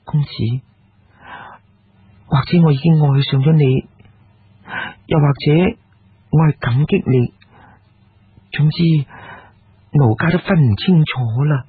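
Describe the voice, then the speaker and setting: Guy doing a humble lovestruck cantonese courtesan, tender storybook voice, raspy, tinny sound. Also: a little high, slow pace, long pauses, no room sound, exaggerated voice. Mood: tender, unsure. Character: humble, lovestruck young courtesan of ancient times. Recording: muffled, narrow phone-grade audio.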